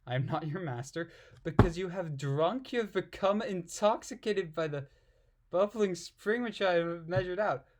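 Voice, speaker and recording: A man's voice: high voice, moderate pace, very noisy. A man reading aloud and commenting casually in an irreverent, giggly, puzzled manner. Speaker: amateur reader and commentator.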